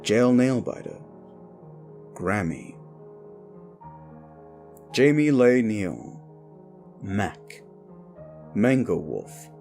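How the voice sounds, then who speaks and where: A man speaking to his audience as an audio roleplay creator, with theatrical, deliberate phrasing. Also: fairly low pitch, gravelly, a little mellow. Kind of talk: outro addressing the audience.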